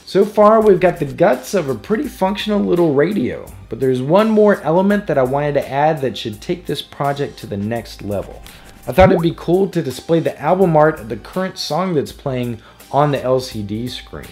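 A man's voice: on the high side, lively intonation, normal speed, darkish tone. A man hosting a tutorial, reading scripted narration in a casual, explanatory way. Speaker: tutorial host. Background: background music.

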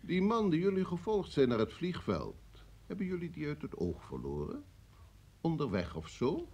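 Curt, husky-voiced security chief. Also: male voice, a little high, huge pitch swings, moderate pace, a little mellow, exaggerated voice. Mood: impatient. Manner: probing, curt. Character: stern, impatient male security service chief. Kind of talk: radio play acting.

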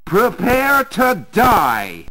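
An actor voicing a snarling, threatening villain in an arcade game, taunting the heroes. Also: male voice, high voice, wildly swooping pitch, extremely slow, pushed and bright, raised voice, full character voice. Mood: menacing.

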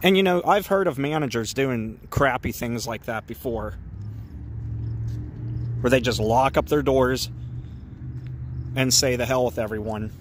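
Casual male explorer vlogger, mid-pitch, talkative, faint outdoor noise. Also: unhurried, pauses often. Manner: chatty, ranting, easily indignant. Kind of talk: casual walk-around narration. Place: outdoors around an empty brick building.

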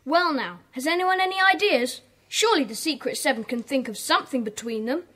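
High-pitched, commanding schoolboy gang leader for a children's audio drama. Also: female voice, expressive, sharp tone. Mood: self-important. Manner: commanding, brisk instructions. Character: bossy schoolboy leader of a secret club.

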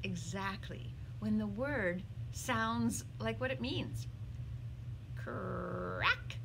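Woman, children's read-aloud, theatrical storyteller, unhurried pace, background noise. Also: medium pitch, animated, gravelly. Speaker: storyteller reading a picture book to children. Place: small indoor room with bookshelves.

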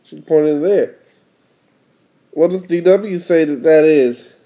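A man's voice: a little high, slow, dark tone. A father reading a picture book to his young child, explaining it casually and prompting with questions. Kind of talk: storybook read-aloud with casual explanations.

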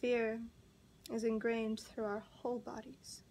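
A young woman performing a slam poem in an earnest, pleading way, with measured, deliberate phrasing. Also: fairly high pitch, level tone, darkish tone, slight background noise. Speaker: spoken word poet. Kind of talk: slam poetry recitation.

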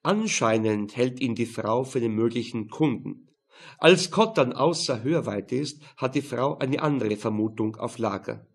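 A man's voice: mid-range voice, animated, moderate pace. A man reading a crime novel aloud as scripted audiobook narration.

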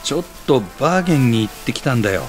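Man playing a mild bachelor with lively intonation. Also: medium pitch, rapid-fire, over music, lightly acted. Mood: friendly. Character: mild, good-natured bachelor, slightly bashful. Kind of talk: scripted audio drama acting.

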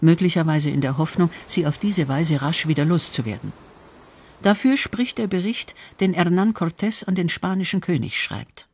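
A man's voice: on the high side, expressive, normal speed, slight background noise. A man reading scripted documentary narration as the narrator of an audio feature.

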